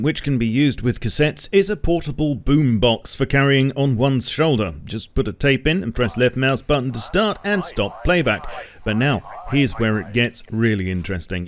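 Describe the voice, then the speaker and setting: A man narrates a video game news update in a scripted, presenter style. His pitch sits in the middle, and he slips in dry, wry asides. Music plays faintly behind his voice. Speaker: video host showing new game items. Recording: music under the voice.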